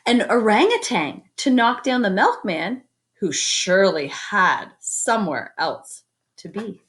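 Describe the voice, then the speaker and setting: A woman reads a children's picture book aloud at an unhurried pace. Her pitch moves around in a lively, expressive way, and she speaks directly to listeners as a storyteller would, mixing narration with conversational comments. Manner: expressive, pauses to comment and invite predictions.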